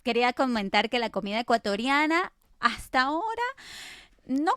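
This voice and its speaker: A woman's voice: fairly high pitch, expressive, moderate pace, fairly bright tone, clear, resonant tone, no echo, studio quality. A woman from Ecuador co-hosting a podcast, chatting casually with frequent fillers. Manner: conversational, many fillers like "este" and "eh". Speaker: podcast co-host.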